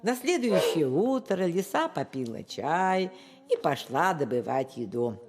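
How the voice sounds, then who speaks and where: A woman telling a children's fairy tale as an expressive storyteller, recorded with long reverb. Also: deep voice, measured pace, noisy background.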